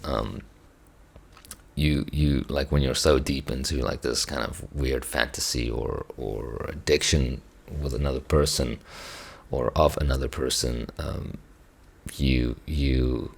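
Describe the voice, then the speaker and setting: Hoarse, very deep gravelly man. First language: Afrikaans. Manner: reflective, hesitant, many restarts. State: hoarse, strained voice. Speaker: musician reacting to songs. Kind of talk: casual reaction commentary.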